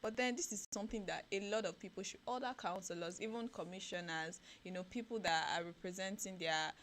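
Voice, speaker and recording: A woman's voice: mid-range voice, a little fast, slight background noise. A woman with a Nigerian English accent co-hosting a radio breakfast show, reading newspaper stories aloud and then commenting on them with growing energy. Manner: reads headlines then comments animatedly, rising when excited. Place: radio studio.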